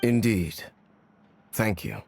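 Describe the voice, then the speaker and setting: A man playing a stoic, calm and courteous warrior bodyguard in a video game. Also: fairly low pitch, takes it slowly, background noise. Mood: calm, obliging.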